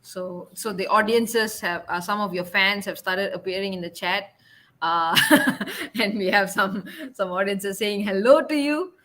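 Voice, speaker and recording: A woman's voice: mid-range voice, unhurried, fairly bright tone. A young woman hosting an online interview in an upbeat, chatty way. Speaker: host.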